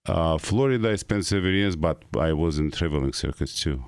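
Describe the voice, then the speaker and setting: Middle-aged male guest, Russian accent, very deep voice, hesitant broken English. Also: animated, smooth, dry recording, studio quality. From North Ossetia, Russia. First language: Ossetian. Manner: halting English with frequent uh pauses. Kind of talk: casual interview.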